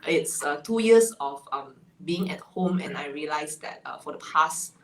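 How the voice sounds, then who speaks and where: A woman's voice: low-pitched, expressive, measured pace, a bit bright. A woman from Malaysia with a Malaysian English accent, chatting as an interview guest and laughing often between phrases. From Malaysia (Sarawak). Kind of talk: casual interview chat. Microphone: video call. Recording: echoey room, faint ambient noise.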